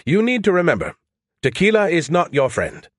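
A man voicing a cocky, chatty artificial intelligence in an audiobook, talking in a loud, eager, teasing way. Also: high-pitched, sing-song, moderate pace, no echo, studio quality, voice pushed up. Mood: mocking, teasing.

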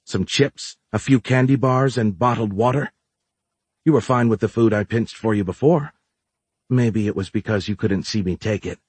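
A man's voice: fairly low pitch, moderate pace, darkish tone, husky, no echo. A man voicing a brash, sarcastic, teasing woman who is a partner in crime, with streetwise, needling delivery.

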